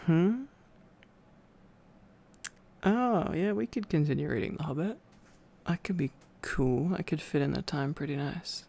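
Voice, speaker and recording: A tired man in an intimate ASMR boyfriend roleplay, rambling affectionately in a soft, sleepy murmur that often slips toward a whisper. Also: fairly high pitch, animated, unhurried, vocal fry, long pauses.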